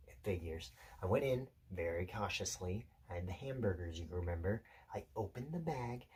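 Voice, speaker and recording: A man acting out a theatrical monologue as an intense, unsettled loner, confiding and deliberate, pausing and correcting himself. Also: sits low, expressive, darkish tone, breathy edge, exaggerated voice. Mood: hushed, suspenseful. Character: intense, unsettled male loner telling a rambling story.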